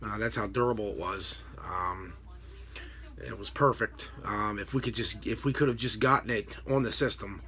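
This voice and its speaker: A middle-aged American man giving a casual, unscripted explanation to camera in a halting, rambling way. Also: fairly low pitch, steady tone, pushed and bright. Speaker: vlogger, radio scanner hobbyist. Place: small home room with a desk, indoors. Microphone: computer webcam at desk distance.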